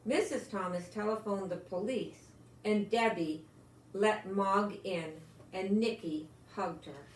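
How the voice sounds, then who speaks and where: Slow-paced older woman reading a storybook. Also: mid-range voice. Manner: expressive, gently exclamatory, addressing young listeners. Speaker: storyteller grandmother reading a picture book. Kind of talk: read-aloud of a children's storybook with asides. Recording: echoey room with some background noise.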